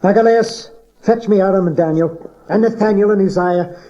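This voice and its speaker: A man's voice: high-pitched, moderate pace, warm tone, natural voice. An actor playing a scornful, suspicious, short-tempered king in a Bible audio drama, commanding and sardonic. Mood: cold suspicion. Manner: commanding, sardonic, clipped questioning. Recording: dull cassette tape audio drama.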